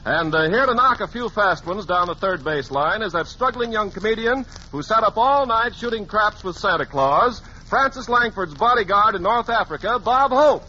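A man's voice: high voice, expressive, moderate pace, few pauses, bright, forceful tone. An American man making scripted announcements in the style of 1940s wartime radio. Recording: dull, faintly noisy 1940s radio recording.

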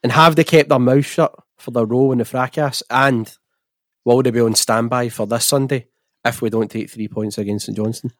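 A man's voice: medium pitch, fairly even intonation, normal speed, dry recording, clean studio sound. A man with a Scottish accent hosting a podcast, chatting casually about football and putting questions to his guest. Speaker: podcast host. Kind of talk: casual football chat interview.

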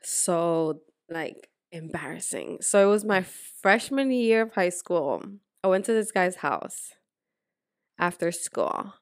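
A young woman from the Dominican Republic, co-hosting a casual podcast. She tells stories frankly and with plenty of swearing, in a lowish voice that sits close and dry against the mic. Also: lively intonation, no room sound. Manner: frank, profane storytelling with quick asides. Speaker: podcast co-host. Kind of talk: candid casual chat.

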